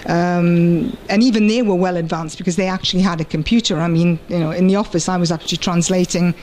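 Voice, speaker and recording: British woman, thoughtful and halting. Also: fairly low pitch, fairly even intonation, a little mellow, a little hiss or hum. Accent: British English. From United Kingdom. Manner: thoughtful, pauses often with fillers. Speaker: interviewee, freelance translator. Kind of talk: conference interview and personal story.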